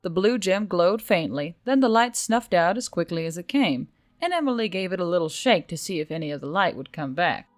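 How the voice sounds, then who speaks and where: A woman's voice: fairly low pitch, lively intonation, fairly quick, no room sound, studio quality. A woman reading scripted fantasy fiction as an audiobook narrator.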